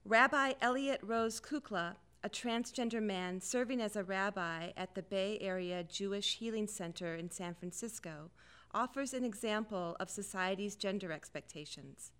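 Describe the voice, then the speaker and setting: A woman narrates a documentary, formally introducing each speaker in turn. Her voice sits at a middle pitch and sounds smooth and crisp. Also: moderate pace, fairly bright tone.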